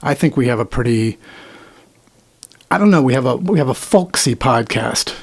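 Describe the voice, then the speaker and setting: A middle-aged man with a faint Midwestern accent, co-hosting a casual podcast and talking in a rambling, self-deprecating way with halting restarts. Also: medium pitch, animated, vocal fry, husky. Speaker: podcast co-host, musician. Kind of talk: casual chat.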